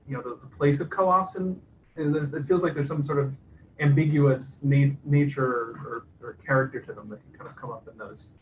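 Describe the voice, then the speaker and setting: A man hosting a casual video call, asking long, halting questions full of fillers and restarts. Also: mid-range voice. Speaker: host. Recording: distant mic with faint background noise.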